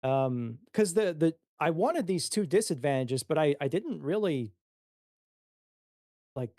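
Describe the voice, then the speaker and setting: A man chatting casually as a player creating a character, tentative and halting in how he talks. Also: on the high side, lively intonation, dark tone, no room sound, clean studio sound. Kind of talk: casual chat.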